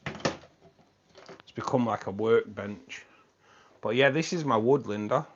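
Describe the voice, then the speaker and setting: A man's voice: fairly low pitch, slow pace, muffled recording, slight background noise. A man hosting a live stream from a room with fish tanks, chatting casually and rambling, often repeating himself.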